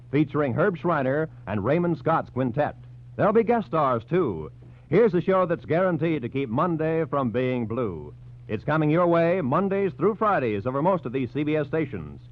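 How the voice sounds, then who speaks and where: A man with an American accent doing polished, formal scripted announcing in the style of 1940s radio. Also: on the high side. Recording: dull 1940s radio recording with music. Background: music.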